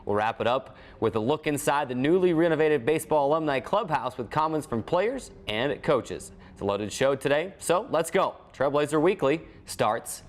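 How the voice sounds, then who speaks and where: A man's voice: a little high, expressive, normal speed, few pauses, a little hiss or hum. An American man hosting a sports show, reading scripted previews with an announcer-like delivery. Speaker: sports show host and team broadcaster.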